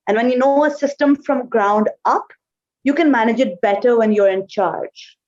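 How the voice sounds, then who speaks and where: A woman whose first language is Urdu speaks in a fairly high voice, answering interview questions over a call. She talks thoughtfully and often pauses with "uh". The audio is dull and lo-fi. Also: a little mellow. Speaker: guest, marketing manager. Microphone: remote call.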